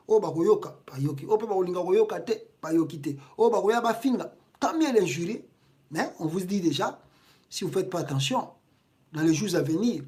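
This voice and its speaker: A woman's voice: fairly low pitch, darkish tone. A woman from Congo with a Congolese French accent, giving impassioned political commentary in a video, indignant and full of rhetorical questions. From Congo (DRC).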